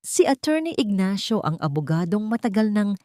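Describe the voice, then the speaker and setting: A Filipino woman narrating a scripted drama as a storyteller, with a measured, dramatic delivery. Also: mid-range voice, big pitch swings, a little mellow, no room sound, clean studio sound.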